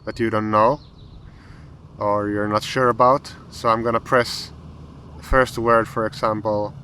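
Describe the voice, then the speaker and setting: A man with a Finnish accent, a language teacher calmly explaining an app as he tries it, thinking aloud with pauses. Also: on the low side, slight background noise. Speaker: language teacher and channel host. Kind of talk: explaining an app while trying it.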